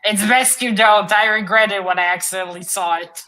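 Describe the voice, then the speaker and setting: A woman's voice: mid-range voice, steady tone, fairly quick, sharp tone. A woman co-hosting a casual book discussion on a live stream, talking informally and jokingly. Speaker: co-host of a literary read-along stream.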